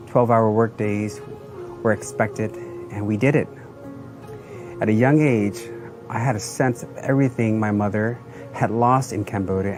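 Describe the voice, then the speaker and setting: Guy with a lowish voice narrating his own story, earnest and plain, music under it. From Southeast Asia and the United States. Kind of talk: personal testimonial narration.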